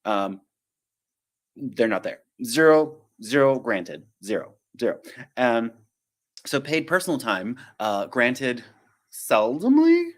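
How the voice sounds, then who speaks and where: This is a man, talking casually on a live stream about his work as a flight attendant. He rambles in a friendly way, teases himself and slips into quick impressions of coworkers and the airline. It sounds like he is at home, close to a desk mic. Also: medium pitch, big pitch swings. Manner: chatty, self-deprecating, digresses and acts out quotes.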